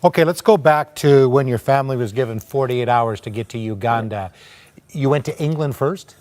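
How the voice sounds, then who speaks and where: Guy hosting an interview show, medium pitch, conversational, asking questions and summing up. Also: moderate pace, darkish tone. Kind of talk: conversational interviewing with prompts and recaps.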